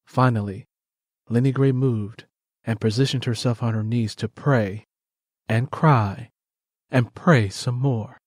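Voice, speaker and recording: A man narrating a scripted dramatic story as an audiobook narrator, solemn and measured. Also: medium pitch.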